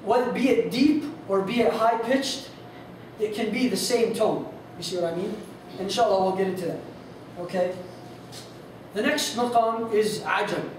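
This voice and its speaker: A man with a high voice teaches a casual lesson, talking in a loose, rambling way full of fillers. His voice is strained, as if he is losing it. He sounds far from the mic, in a very echoey hall with a faint hiss behind him. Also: normal speed. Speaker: instructor on Quran recitation. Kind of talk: informal lesson with sung demonstrations.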